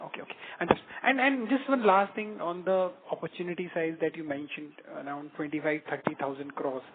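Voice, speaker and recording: Halting Indian man, higher-pitched, on phone. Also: background noise. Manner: halting, repeats words in bursts. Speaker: analyst asking questions. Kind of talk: question and answer on a conference call. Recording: narrow phone line with background chatter.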